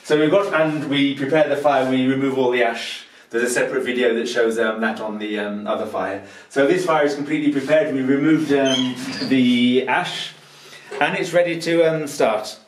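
A man's voice: mid-range voice, fairly even intonation, normal speed, a little hiss or hum, low-quality recording. A man in his fifties, a holiday rental host, patiently explaining a task step by step with frequent ums, recorded on a handheld camera in a stone-walled kitchen. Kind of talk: instructional tutorial.

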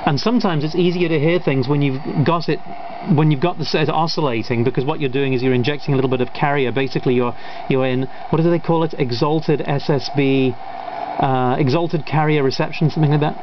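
Guy with a slightly high voice casually showing off his radio, muffled camera mic, lots of static behind. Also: fairly even intonation, fairly quick. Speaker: hobbyist radio builder demonstrating. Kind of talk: casual off-the-cuff demonstration. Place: indoors at a radio bench. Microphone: camera microphone. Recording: dull camera audio, loud radio static. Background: shortwave radio noise and signals.

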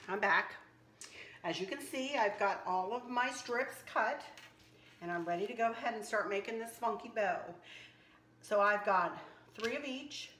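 A slightly hoarse woman hosting a casual craft tutorial, chatting and teasing herself as she works. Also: medium pitch, a bit bright, roomy sound, a little hiss or hum. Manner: chatty, self-teasing, talks while working. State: a little hoarse. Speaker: craft channel host. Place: kitchen counter indoors.

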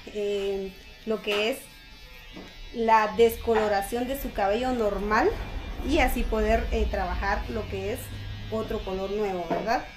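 Soft-spoken Guatemalan woman barber explaining. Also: medium pitch, unhurried, music in the background, poor audio. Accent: Guatemalan Spanish. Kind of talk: explaining her work while coloring hair. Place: barbershop, indoors.

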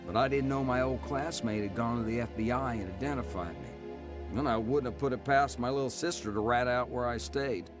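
A man acts a hardened convict looking back on his life in a radio drama. He narrates in the first person at a middling pitch, with music clearly playing underneath. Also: brisk, close to an everyday voice. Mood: wry, hard. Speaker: narrator and lead actor.

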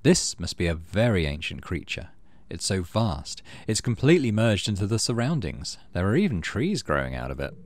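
Low-voiced man with lively pitch, gaming commentary. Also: normal speed, few pauses, no echo. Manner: thinks aloud, muttering through choices. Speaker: let's play host. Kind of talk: casual gameplay commentary, reading game text aloud. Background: game music.

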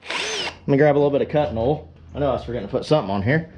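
A man's voice: mid-range voice, very fast, a little mellow. A man casually talking viewers through hands-on shop work in an easygoing, self-deprecating way, addressing them as guys. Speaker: DIY metalworking video host. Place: cluttered sunlit forge workshop. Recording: clear power tool and workshop noise. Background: drill and tool noise.

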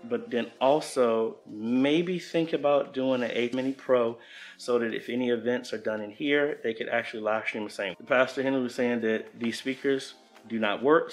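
A man in his thirties talking informally and practically, thinking aloud as he explains an installation in a casual vlog style. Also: mid-range voice. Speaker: church audio-video installer and vlogger.

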